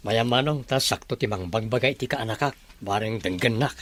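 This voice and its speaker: A man playing a proud, gentle, middle-aged father in an Ilocano radio drama, speaking affectionately in a husky voice. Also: fairly low pitch, fairly quick. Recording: music bed under the dialogue.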